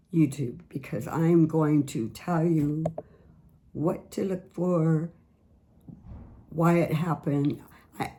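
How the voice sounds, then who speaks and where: A middle-aged woman, a little raspy today, chatting earnestly about skin care products she sells. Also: low voice, warm tone, slight background noise. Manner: chatty, earnest, repeats words. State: slightly raspy voice. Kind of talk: casual product tips vlog.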